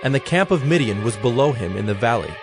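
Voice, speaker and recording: A man narrating dramatized scripture in a measured, formal storytelling manner. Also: mid-range voice, sing-song, music in the background.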